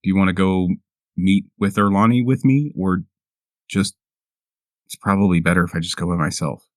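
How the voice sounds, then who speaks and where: A man playing a tabletop roleplaying game, improvising in character in a halting, hesitant way. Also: deep voice, fairly even intonation, dry recording, clean studio sound. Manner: halting, hesitant, searching for words. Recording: music playing behind the voice. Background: music.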